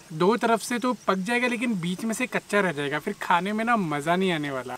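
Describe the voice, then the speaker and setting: A man's voice: sits high, a bit bright. A man in his thirties chatting casually to viewers while cooking outdoors beside a camper van at a lake, upbeat and talkative. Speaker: travel vlogger.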